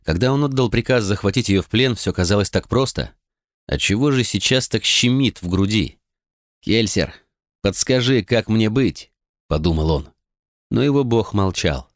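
A man narrating an audiobook in a calm, measured way. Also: on the low side, no echo, studio quality. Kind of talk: scripted narration.

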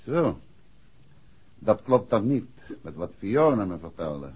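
An actor playing a self-assured, wry detective husband with a brisk, teasing manner, in 1960s radio drama style. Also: male voice, lowish voice, sing-song, a little mellow, breathy edge, dry recording, full character voice. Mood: probing, confident. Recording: dull, noisy 1960s radio recording.

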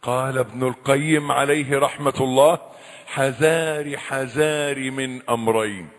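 A man preaching a sermon, swinging between loud cries and hushed, low warnings. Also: steady tone, measured pace. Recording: echoey hall with long reverb.

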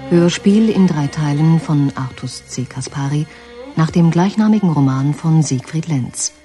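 A woman announces a radio play in a formal, measured style. Her voice is very low and dark, delivered slowly with faint music behind. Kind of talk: scripted radio drama title announcement.

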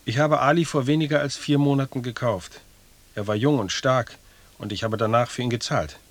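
An actor in an audio drama playing a patient, stubborn expedition porter who pleads in a plain, deferential but insistent way. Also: male voice, mid-range voice, fairly quick, no room sound, close to an everyday voice. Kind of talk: German audio drama acting.

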